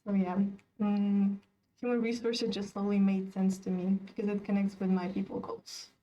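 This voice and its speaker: A woman's voice: mid-range voice, flat delivery, warm tone, far from the mic, low-quality recording. A young woman from Kazakhstan speaks as a student panelist, thoughtful and musing, humming "mm" between ideas. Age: young adult. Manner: thoughtful, with drawn-out hums. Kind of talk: casual webinar discussion. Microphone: video call.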